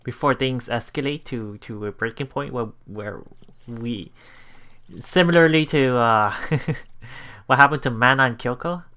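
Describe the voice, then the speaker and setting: Bright-voiced man narrating a game. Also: medium pitch, unhurried, clean tone. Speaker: let's play host. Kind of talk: reading visual novel text aloud with casual commentary. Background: game music.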